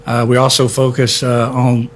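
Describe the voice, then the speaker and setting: A middle-aged man from Texas, a history center director being interviewed, speaking in a measured way with many filler words. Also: medium pitch, monotone, slight background noise. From born in Hawaii, raised in Texas. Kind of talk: conversational interview.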